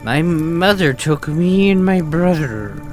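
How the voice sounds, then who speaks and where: An actor playing a grown man haunted by his father's death, telling it in a hushed, halting way. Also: on the high side, animated, soft background music. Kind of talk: fan manga dub, dramatic reading.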